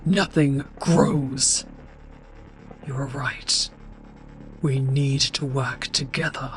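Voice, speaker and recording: Halting, desperate wolf-woman monster played by a woman. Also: very deep voice, fairly even intonation, exaggerated voice. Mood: pleading. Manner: halting, pleading.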